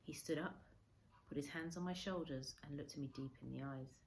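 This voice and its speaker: A woman reading a story aloud as a teacher, in expressive storybook narration. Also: low voice, fairly quick, a little hiss or hum.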